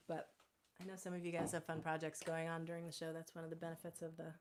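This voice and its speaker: A woman's voice: sits low, little pitch movement, fairly quick. A woman singer-songwriter talking casually between songs on a live stream from her home, rambling and correcting herself, with a slightly scratchy voice. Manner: rambling, self-correcting, chatty.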